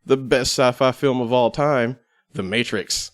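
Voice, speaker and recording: A man's voice: mid-range voice, fairly even intonation, unhurried, no echo, clean studio sound. A man speaking as a podcast guest in casual, conversational chat.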